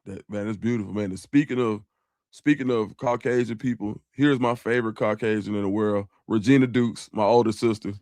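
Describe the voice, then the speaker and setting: A man co-hosting a casual live-stream podcast, reading viewer donations aloud in a relaxed, slangy, grateful way. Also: fairly low pitch, brisk, dry recording. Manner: relaxed and grateful, slangy, calls people dog and bro. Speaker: show host reading super chats.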